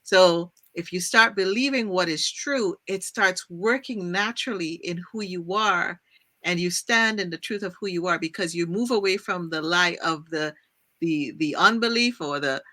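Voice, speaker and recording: A woman's voice: fairly low pitch, normal speed, sharp tone. A woman from Trinidad hosting a faith-based talk show, speaking earnestly and thinking aloud. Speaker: podcast host. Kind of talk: casual faith-based conversation.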